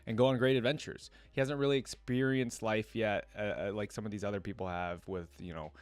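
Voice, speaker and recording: A man's voice: on the low side, steady tone, fairly quick, dry recording. A man hosting a reaction video with casual commentary, informal and thinking aloud as he goes.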